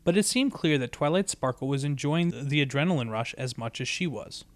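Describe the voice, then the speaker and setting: A man's voice: medium pitch, expressive, fairly quick, no room sound. A man reading a story aloud as scripted narration. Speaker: story reader.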